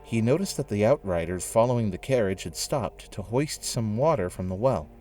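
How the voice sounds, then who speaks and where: A man narrating a scripted audio drama in a measured storytelling manner. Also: on the low side, animated, mellow, a little hiss or hum. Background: music.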